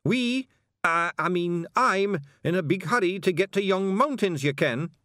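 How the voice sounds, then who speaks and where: A man's voice: sits high, lively intonation, measured pace, fairly bright tone, no room sound, clean studio sound, voice pushed up. A man voicing an impatient, threatening Scottish passenger with a growl and broad dialect in an audiobook. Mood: blustering, impatient. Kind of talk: audiobook character voice.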